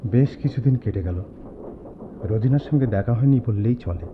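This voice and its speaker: A man's voice: medium pitch, normal speed, natural voice. An actor in a radio drama playing an earnest, loyal young student who tells his friend's story with emphatic, dramatic delivery. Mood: reflective, polite. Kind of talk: Bengali radio drama acting with narration. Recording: dull noisy old radio-play recording.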